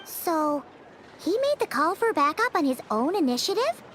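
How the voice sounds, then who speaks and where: A woman voicing a tiny, excitable, cheeky childlike companion creature in a video game, with a squeaky voice and perky delivery. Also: normal speed, background noise. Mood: surprised, curious. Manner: perky, exclamatory, refers to self in third person. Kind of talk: video game character acting.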